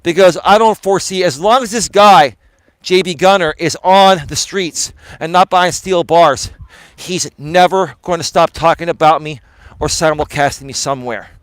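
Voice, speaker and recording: A man live streaming outdoors on his phone while walking, talking to viewers in a rambling, emphatic way, sounding tired and a little hoarse. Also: a little high, normal speed, a bit bright, no echo. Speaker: life coach and live streamer. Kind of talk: casual live stream talk to chat. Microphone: phone camera held while walking.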